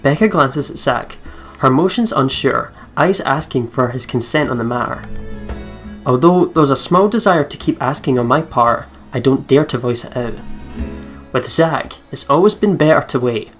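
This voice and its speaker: A woman's voice: very deep voice, expressive, normal speed. A woman hosting a let's play, reading visual novel narration aloud and breaking off into casual, exclaiming reactions. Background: game music.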